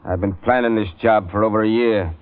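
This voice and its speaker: Man playing a brisk, tough gang boss. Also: lowish voice, steady tone, natural voice. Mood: confident. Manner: brisk, authoritative. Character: tough, brisk male gang boss, confident criminal mastermind. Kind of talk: 1930s-40s radio drama acting. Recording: muffled vintage radio recording.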